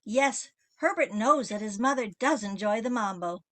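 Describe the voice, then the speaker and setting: A woman's voice: on the high side, expressive, normal speed, fairly bright tone. A woman playing a haughty, domineering older mother in a comic radio play, with an imperious, disdainful delivery. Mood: disapproving, haughty. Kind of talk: comic old-time radio play acting.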